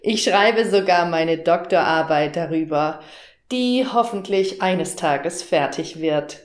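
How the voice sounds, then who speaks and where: Female language teacher, 30s, unhurried and didactic, careful enunciation, mid pitch, roomy echo. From southern Germany, near Stuttgart. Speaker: German teacher, workshop host. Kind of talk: instructional presentation to camera, addressing learners directly. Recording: roomy, echoing room sound.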